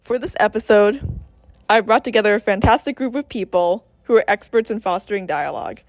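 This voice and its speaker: A woman podcast host reading a scripted welcome in an even, read-aloud manner. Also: mid-range voice, a little fast, no echo, faint music behind. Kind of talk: scripted intro.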